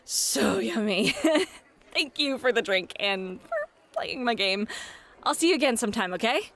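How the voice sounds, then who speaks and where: A woman playing a playful, flirty club hostess and game master in an ASMR roleplay, bubbly, teasing and expressive. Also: on the high side, slow pace, heavily acted. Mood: casual, cheerful. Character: playful, flirty club hostess running tabletop adventure games. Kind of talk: scripted ASMR roleplay, acted. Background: background music.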